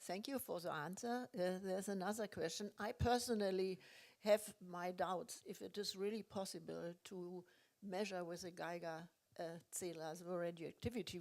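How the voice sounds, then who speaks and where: A woman with a German accent moderating a panel, giving insistent impromptu advice in halting English. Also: medium pitch, darkish tone. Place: indoor conference.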